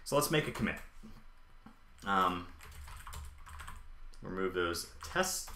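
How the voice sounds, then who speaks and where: Lively-voiced man live coding. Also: deep voice, slow pace, a little hiss or hum. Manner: thinking aloud, many ums and uhs. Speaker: live-coding streamer and developer. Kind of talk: live coding tutorial with chat replies. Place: home office, indoors. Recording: echoey room.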